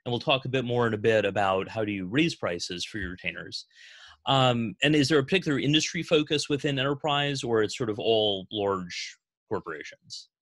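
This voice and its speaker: Calm man hosting a video Q&A. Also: mid-range voice, no room sound. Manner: measured, with frequent "uh" fillers. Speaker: host of agency Q&A. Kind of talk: moderating a video call Q&A. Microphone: video call.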